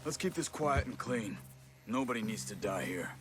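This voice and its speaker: An actor playing a calm, principled outlaw in a video game, speaking tersely in a quiet, measured way. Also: male voice, mid-range voice, over music, natural voice. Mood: calm, guarded. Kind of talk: video game cutscene acting.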